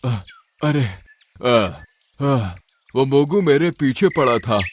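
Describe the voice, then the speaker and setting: A man's voice: medium pitch, animated, very slow. An actor voicing a frightened male tiger in a cartoon, stammering fearfully. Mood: scared. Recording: cartoon with music behind.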